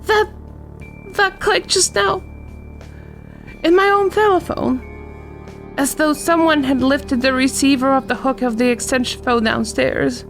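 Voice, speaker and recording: High-pitched, frantic invalid wife. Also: female voice, a little slow, pauses often, faint music behind, rough recording. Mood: fearful. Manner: imperious, anxious, melodramatic. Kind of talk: old-time radio thriller acting.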